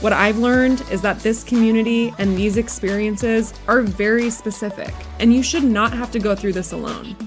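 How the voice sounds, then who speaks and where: A woman delivering a scripted podcast intro in a smooth, rehearsed way. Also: fairly high pitch. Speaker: podcast host. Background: faint music.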